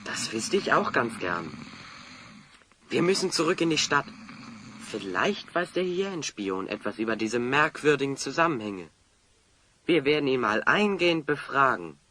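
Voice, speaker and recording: An actor playing a cheeky, plucky little dwarf hero in a children's audio drama, with a teasing manner. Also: male voice, medium pitch, moderate pace, noisy background, lightly acted. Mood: pensive. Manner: teasing, animated.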